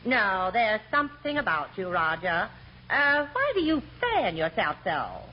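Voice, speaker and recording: Fluttery wife, wildly swooping voice. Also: female voice, medium pitch, huge pitch swings. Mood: earnest, puzzled. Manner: gushing, theatrical, drawn-out exclamations. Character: gullible, fluttery middle-aged society wife. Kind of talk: 1940s radio comedy acting. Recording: dull, hissy old radio recording.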